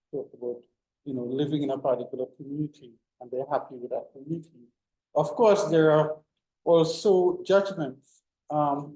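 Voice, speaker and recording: A man's voice: fairly high pitch, dark tone, roomy sound, low-quality recording. A middle-aged man giving an informal classroom lecture, full of fillers and restarts. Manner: rambling, many fillers and restarts. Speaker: university lecturer.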